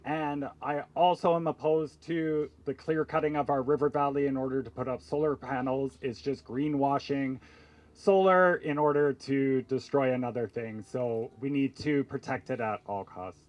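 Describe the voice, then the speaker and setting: A man in his forties, a council candidate, giving a firm, slightly halting statement into a mic in an outdoor tent. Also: medium pitch. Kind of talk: candidate forum speech. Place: outdoor tent at a community forum. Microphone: mic on a stand.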